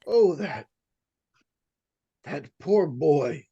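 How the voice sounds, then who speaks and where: A performer playing an ailing, courteous doctor in old-time radio suspense style, formal and halting, with a weak husky voice. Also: medium pitch, lively intonation, warm tone, muffled recording, full character voice. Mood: shocked. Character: ailing, courteous doctor shaken after a haunting. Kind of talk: 1940s radio suspense acting.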